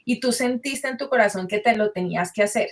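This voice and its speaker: A woman hosting a podcast interview, enthusiastic and halting, restarting her sentences as she frames a question. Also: medium pitch. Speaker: podcast host.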